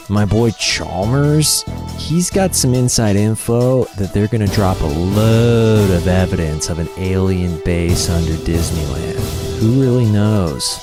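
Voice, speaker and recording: A man voicing a laid-back male theme park trivia presenter who talks in a slangy, stoner-ish drawl over guitar music. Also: deep voice. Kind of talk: comedy radio segment.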